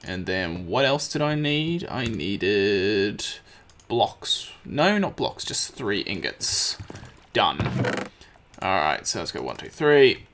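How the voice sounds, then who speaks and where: A man with a slightly croaky voice, casually commenting on his gameplay and thinking aloud as he crafts. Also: fairly low pitch, sing-song, slow pace, a bit bright, a little hiss or hum. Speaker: let's play host.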